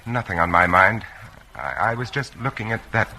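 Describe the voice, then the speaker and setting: Low-voiced, anxious, guarded worker. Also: male voice, lively intonation, normal speed, sharp tone, full character voice, poor audio. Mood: guarded, uneasy. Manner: hesitant, nervous, earnest. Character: guarded, anxious male office worker secretly rebelling. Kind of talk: old radio drama acting.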